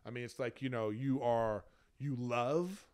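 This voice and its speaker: A man's voice: mid-range voice, unhurried, a crowd in the background. A man of about fifty co-hosting a podcast, giving advice in casual, rambling, emphatic explanations. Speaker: podcast co-host.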